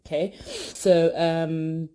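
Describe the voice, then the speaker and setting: A young woman with a low, mellow voice, chatting her way through a podcast intro. She talks loosely and animatedly, full of asides and little fillers. Her voice stays deep and soft all the way through. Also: big pitch swings, very slow. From Britain, born in East London, family from Ghana. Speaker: podcast host. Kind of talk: casual self-introduction.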